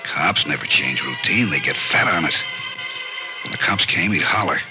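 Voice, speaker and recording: A man narrating an old radio thriller in a grim, suspenseful, deliberate manner. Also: extremely low pitch, animated, rough recording. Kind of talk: dramatic scripted narration, 1940s-50s radio thriller. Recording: old radio recording, loud music behind.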